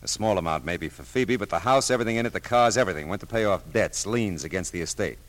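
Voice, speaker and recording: An American actor playing a dry, persistent private detective in an old radio drama, with a wry, clipped, hard-boiled delivery. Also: male voice, sits low, animated, quick pace, fairly bright tone. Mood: insistent. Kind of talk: 1940s-50s radio detective acting with first-person narration. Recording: old radio show, faint music.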